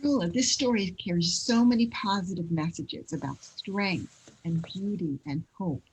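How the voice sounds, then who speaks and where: A woman's voice: lowish voice, measured pace, a little hiss or hum, poor audio. A woman interviewer asking prepared questions in the manner of a host.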